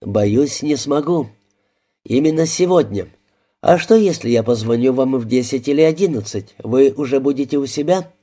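Male reader doing a composed, politely teasing young woman in a storybook; mellow, dry irony. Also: mid-range voice, expressive, normal speed, natural voice.

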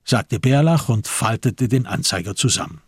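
A man's voice: mid-range voice, animated, moderate pace, slightly rough, no room sound, clean studio sound. A man, reading scripted literary narration for a radio drama.

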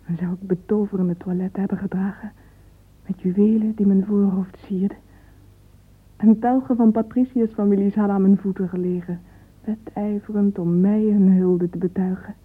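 Actress doing a romantic lovesick young woman in a radio drama, wistful and husky, muffled narrow-band recording. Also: medium pitch, fairly even intonation, moderate pace, lightly acted. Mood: haughty, dreamy. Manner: wistful, yearning. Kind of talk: radio drama interior monologue.

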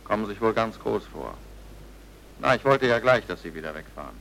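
Brusque police officer, lively low voice. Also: male voice, normal speed, gravelly, a little hiss or hum, low-quality recording. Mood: curt, annoyed. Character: brusque, pressing male military police officer, dryly sardonic. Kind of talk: radio drama acting.

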